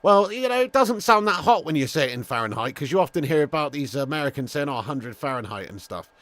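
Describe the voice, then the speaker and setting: A man's voice: on the high side, expressive, quick pace, a bit bright, dry recording. Chatty English man, dry close sound. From England. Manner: chatty, swears casually, greets viewers by name. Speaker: host. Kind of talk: live football watch-along chat.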